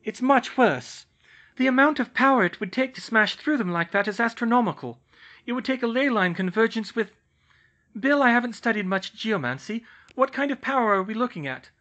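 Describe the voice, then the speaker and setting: A clever, earnest young witch played by a man who talks quickly and precisely in a raised, bright voice. Her lines rise and fall widely. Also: extremely high pitch, animated, muffled recording, pitched above the performer's own voice, heavily acted. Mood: urgent.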